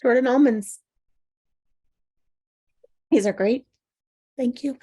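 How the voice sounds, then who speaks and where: A woman's voice: sits high, takes it slowly, dark tone, breathy edge, exaggerated voice. A woman playing a hapless, defiant single woman in a comedy table read, conversational and falsely breezy.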